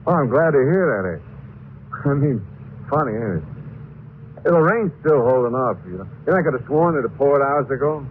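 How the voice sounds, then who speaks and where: An actor playing a guilt-haunted, nervous murderer in an old-time radio horror drama, speaking in halting, stammering phrases. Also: male voice, medium pitch, expressive, natural voice. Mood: afraid, chatty. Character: guilt-haunted, jittery murderer narrating his crime. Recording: noisy, muffled old radio broadcast.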